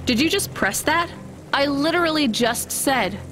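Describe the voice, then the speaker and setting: A woman's voice: sits high, animated, normal speed, fairly bright tone, faint music behind. A woman playing a young courier driver in a video game, with a dry, skeptical, understated delivery. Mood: wry, exasperated. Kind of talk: naturalistic scripted game acting.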